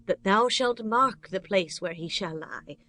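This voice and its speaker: A woman playing a caring, devout older mother-in-law giving gentle, measured counsel in a dramatized scripture audiobook. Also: mid-range voice, animated. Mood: gently advising.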